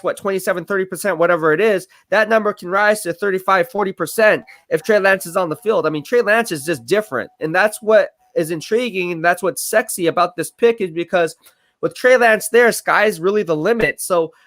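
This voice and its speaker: A man hosting a casual live stream on football, talking fast and energetically with lots of fillers and repeated words. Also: high voice, fairly bright tone. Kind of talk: casual sports analysis livestream.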